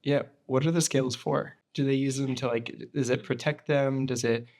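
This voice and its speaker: A young conservation biologist chatting casually and quickly, full of fillers. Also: male voice, mid-range voice, fairly even intonation, darkish tone. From Australia. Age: young adult. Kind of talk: casual conversation.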